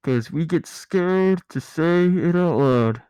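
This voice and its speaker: Storybook role: drowsy, tipsy female guardian played by a man, raised pitch, slurred, teasing, rambling emphasis. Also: expressive, takes it slowly, mellow, clean tone, dry recording, low-quality recording. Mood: drunken insistence.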